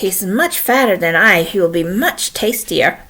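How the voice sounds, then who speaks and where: A woman's voice: mid-range voice, expressive, unhurried, pushed and bright. A woman voicing a middle-sized billy goat in a storybook tale, placating and bargaining.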